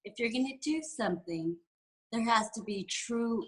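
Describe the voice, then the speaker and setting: Bright, candid woman guest. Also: a little high, animated, moderate pace. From San Francisco, USA. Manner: candid and reflective, with frequent fillers. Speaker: guest executive and entrepreneur. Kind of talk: conversational interview.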